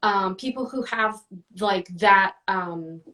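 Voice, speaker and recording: A woman's voice: medium pitch, slow, bright, forceful tone, distant mic. A woman answering interview questions in a casual, chatty way, with many fillers and restarts. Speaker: guest, book reviewer.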